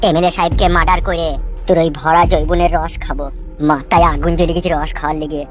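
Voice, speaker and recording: A woman's voice: deep voice, level tone, quick pace, lo-fi sound, soft background music, close to an everyday voice. A woman voicing a lustful, scheming male villain in a comedy cartoon, coarse and melodramatic. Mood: lustful, menacing.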